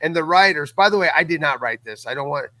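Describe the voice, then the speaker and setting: A man's voice: on the high side, lively intonation, fast talker, pushed and bright. A man chatting casually as a podcast guest, enthusiastic and emphatic, often stammering and repeating words as he goes. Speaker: podcast guest, co-creator of an audio drama.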